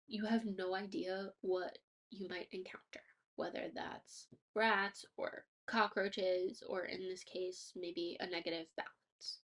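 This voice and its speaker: Stuffy-voiced woman hosting a podcast. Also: mid-range voice. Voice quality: slightly nasal. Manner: upbeat, motivational, with casual fillers. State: stuffy, congested from allergies. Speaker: podcast host. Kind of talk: scripted podcast monologue.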